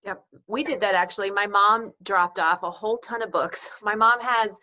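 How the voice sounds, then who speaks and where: A woman with a bright, forceful voice co-hosts a casual discussion. Her pitch sits in the middle, and the recording is a little dull and lo-fi. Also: moderate pace, a little hiss or hum. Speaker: co-host reading out audience questions. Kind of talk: casual chat.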